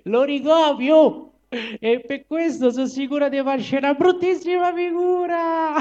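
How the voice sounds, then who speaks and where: A man voicing a proud, indignant female monkey in theatrical verse with a Roman accent and drawn-out emphatic words. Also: very high-pitched, a little mellow, muffled recording, pitched above the performer's own voice. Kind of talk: dramatic recital of dialect verse.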